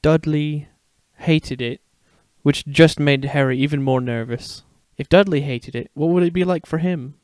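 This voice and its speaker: A man's voice: on the high side, a little slow, a little mellow, dry recording, natural voice. A man voicing a playful, protective young father in a dramatic story reading. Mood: reading aloud. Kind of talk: dramatic fan fiction reading.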